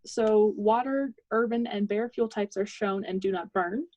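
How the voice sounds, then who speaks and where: Young woman, mid-pitched, student presenter. Measured technical explanation, recorded very dry without room sound. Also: a little mellow, no echo, highs cut off.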